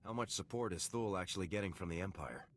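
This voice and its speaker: An actor playing a resolute, dutiful lieutenant in a video game, terse and confident. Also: male voice, sits low, quick pace, a little hiss or hum, natural voice. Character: resolute, dutiful male military lieutenant hero. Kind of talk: scripted video game dialogue. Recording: clear music behind the voice.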